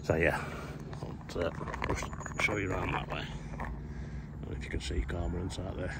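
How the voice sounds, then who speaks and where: A middle-aged man with a very low, deep voice talks plainly into a phone held at arm's length. He trails off and fills gaps with "uh" as he chats to his viewers. Outdoor noise sits clearly under his voice. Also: level tone, normal speed. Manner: plain-spoken, trailing off with frequent "uh". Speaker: angler vlogger. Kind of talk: casual fishing vlog to camera. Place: outdoors by a lake, and inside a fishing shelter at night.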